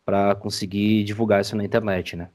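A man's voice: on the low side, little pitch movement, fairly quick, a little mellow. Brazilian man explaining things casually. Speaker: podcast guest, audiodrama editor. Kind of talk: casual explanatory interview chat.

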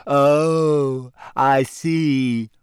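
A morose, sly ghost of a schoolgirl, as a male audiobook reader puts her on. She speaks slowly and mournfully in a somewhat raised, smooth, clear voice. The sound is dry and close. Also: on the high side, a little mellow, no echo. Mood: moping. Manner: morose, moping, slow. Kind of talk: audiobook character voice.

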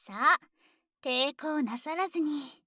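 A woman plays a dragon maid in an ASMR situation drama, with a high voice and an unhurried pace. The maid is polite and coddling, yet teasingly domineering toward a smaller young master. The sound is close and very dry. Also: dry recording, heavily acted. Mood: teasing, confident. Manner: polite servile speech, gently teasing and coddling. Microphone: close microphone, dry.

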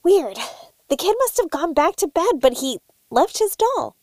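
Bubbly, high-pitched oblivious woman. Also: very animated, a little slow, darkish tone, dry recording. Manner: bubbly, oblivious, cheerfully unbothered. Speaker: cast member. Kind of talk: comedic audio sketch.

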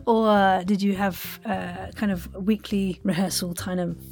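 A woman hosting a casual interview with a husky voice, effusive and quick to chime in with approval. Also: mid-range voice, steady tone, a little mellow, faint music behind. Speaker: podcast host and interviewer. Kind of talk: casual enthusiastic interview chat.

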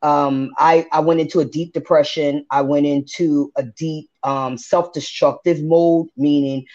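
A woman's voice: deep voice, steady tone, unhurried, darkish tone. A woman in her forties sharing her personal faith testimony, speaking candidly and earnestly with religious phrasing. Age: 40s. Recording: dull lo-fi podcast audio.

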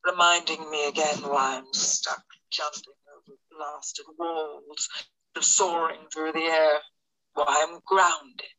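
An audiobook take on a cocky young hero who broods over his limits, acted out by a narrator in a slow, deliberate first person. The tone is bright and edged, coming through like phone playback. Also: mid-range voice, fairly even intonation, gravelly, heavily acted, rough recording. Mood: boastful, regretful.

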